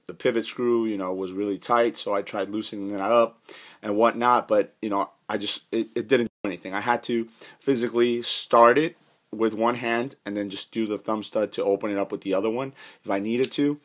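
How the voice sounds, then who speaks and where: A man with a hoarse voice from a cold, casually reviewing a knife indoors with lots of fillers and restarts. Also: lowish voice, steady tone, brisk. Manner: rambling, many fillers and restarts. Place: indoors, carpeted room.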